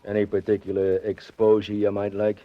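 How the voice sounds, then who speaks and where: An actor playing a sly, greedy clerk at a seedy hotel in an American radio drama, mock-polite and sardonic. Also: male voice, lowish voice, monotone, fairly quick, natural voice. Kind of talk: 1940s radio drama acting. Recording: dull vintage radio recording.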